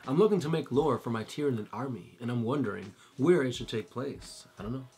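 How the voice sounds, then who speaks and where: A man's voice: mid-range voice, animated, a little fast, darkish tone, faint music behind. A man co-hosting a casual chat and reading viewer questions, easygoing and thinking aloud with uhs.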